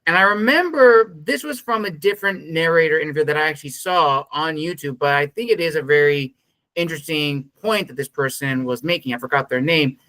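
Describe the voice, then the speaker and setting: Guy hosting a podcast, bright clear voice, kinda rambly earnest questions, dry mic. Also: on the high side, a little fast, dry recording, low-quality recording. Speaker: podcast host interviewing a narrator. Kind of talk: conversational interview hosting.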